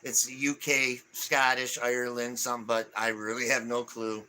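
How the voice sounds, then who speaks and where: Bright-voiced man in his forties. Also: medium pitch, level tone, a little hiss or hum. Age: 40s. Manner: reads viewer comments aloud, halting with fillers. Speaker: live stream co-host. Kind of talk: casual live stream chat.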